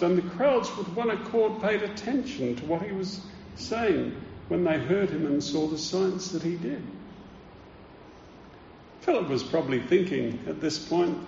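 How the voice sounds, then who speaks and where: A man preaching a sermon with earnest, deliberate teaching and emphatic stresses, recorded in a roomy, dull church hall. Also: on the high side, vocal fry, darkish tone, slight background noise.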